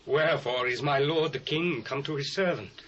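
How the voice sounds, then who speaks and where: Man acting as humble subject, fairly high voice. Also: normal speed, fairly bright tone, natural voice. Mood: humble, eager. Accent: British. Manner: deferential, declamatory. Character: humble, generous subject addressing his king. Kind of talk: dramatised scripture reading. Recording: muffled, slightly noisy old cassette.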